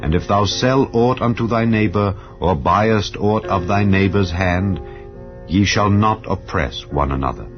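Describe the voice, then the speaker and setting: A man reading scripture aloud in a solemn, measured way. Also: lowish voice. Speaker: Bible reader. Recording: dull lo-fi audio with faint background music. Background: soft ambient music.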